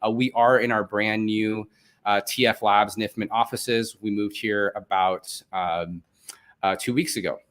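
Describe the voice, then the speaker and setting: A man's voice: on the low side, fairly even intonation, measured pace, a bit bright. A man from Venezuela, a native Spanish speaker, hosting a live online event in a chatty, upbeat way with many fillers. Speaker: host.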